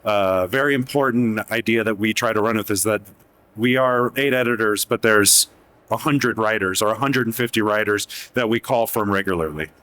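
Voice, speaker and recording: A man's voice: lowish voice, moderate pace, a bit bright, no echo. A man who grew up in Mexico, speaking as a magazine editor on a panel, thoughtful and hedging, with many restarts. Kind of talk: panel discussion. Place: event hall panel.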